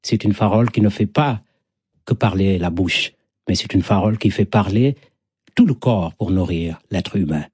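A man's voice: sits low, normal speed, a little mellow, slightly rough, no echo, studio quality. A middle-aged man from Senegal with a Senegalese accent, a native Wolof speaker, talking reflectively as a storyteller being interviewed, in poetic, repetitive phrases.

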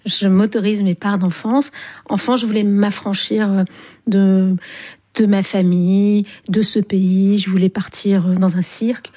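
A woman in her fifties from Algeria, telling her life story in an interview, thoughtful and pausing often with hesitant fillers. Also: medium pitch, little pitch movement, mellow. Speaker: writer, interview guest. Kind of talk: reflective personal storytelling in an interview.

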